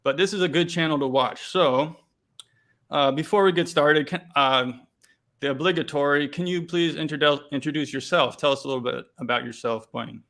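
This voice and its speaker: A man's voice: fairly high pitch, fairly quick, fairly bright tone. Hesitant male interview host. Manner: hesitant, many fillers and restarts. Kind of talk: casual interview.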